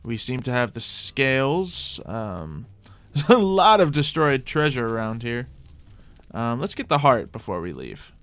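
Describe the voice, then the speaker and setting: A man's voice: mid-range voice, big pitch swings, measured pace, fairly bright tone. A man hosting a gaming video, thinking aloud in casual gameplay commentary.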